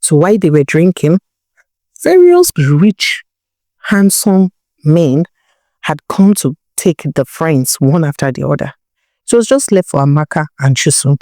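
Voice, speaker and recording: A Nigerian woman narrates a folktale in a low, mellow voice. She tells it with drama, as a storyteller. The sound is close and dry. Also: no room sound.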